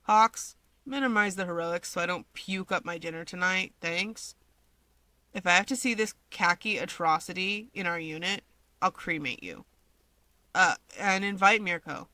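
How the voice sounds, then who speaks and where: A woman's voice: on the low side, normal speed, bright, forceful tone, no echo. A woman voicing a bossy, sardonic young male villain and big brother in a story reading, dryly threatening and teasing. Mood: bossy, irritated.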